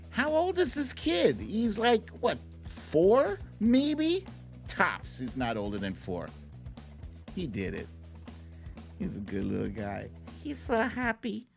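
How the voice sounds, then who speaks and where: A livestream host commenting casually on clips in a playful, expressive way, with silly exclamations. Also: mid-range voice.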